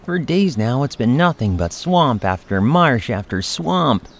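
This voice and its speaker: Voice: mid-range voice, big pitch swings, a little slow, vocal fry, full character voice. An actor playing a glum adventurer who complains wryly in an audio drama. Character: glum, grumbling, wry fantasy adventurer.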